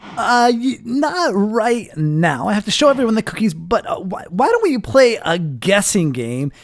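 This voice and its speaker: A man hosting a gaming video with casual, playful roleplay commentary and animated reactions. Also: high voice, unhurried, creaky.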